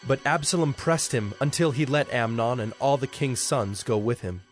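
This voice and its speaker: A man reads scripture as the narrator of an audio dramatization. His voice is medium in pitch, with music clearly audible beneath it. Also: moderate pace.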